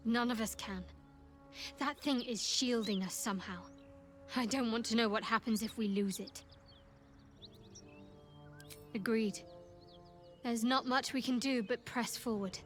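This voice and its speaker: Woman doing a wry guarded priestess girl for a fantasy rpg, kinda high voice, music under it. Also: normal speed, lightly acted. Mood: wary. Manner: dry, guarded, teasing. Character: guarded, wry, devout young female fantasy cleric. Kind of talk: fantasy video game voice acting. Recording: clear music behind.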